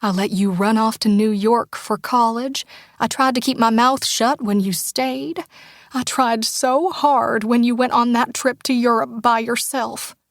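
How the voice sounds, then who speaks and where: A woman voicing a tender small-town mother who speaks in a soft, often breathy near-whisper, pleading and heartfelt. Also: fairly high pitch, fairly even intonation, measured pace, dry recording, studio quality. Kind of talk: audiobook character dialogue.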